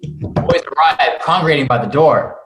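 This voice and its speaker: A man's voice: fairly high pitch, lively intonation, moderate pace, a bit bright. A man reading stage directions aloud as screenplay narration at a cast table read. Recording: echoey room.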